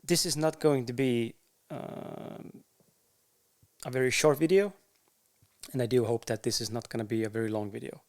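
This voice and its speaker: Estonian-accented man, slow and candid. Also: medium pitch, steady tone, a little mellow. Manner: unhurried, frequent pauses and fillers, candid. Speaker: podcaster. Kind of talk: casual talk to camera.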